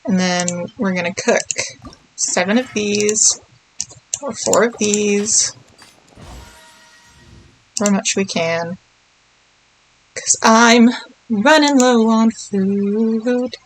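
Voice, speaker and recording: A woman's voice: medium pitch, drawn-out words, long pauses, a little hiss or hum, poor audio. A woman giving casual, playful video game commentary, thinking aloud and murmuring little nonsense words to herself as she plays.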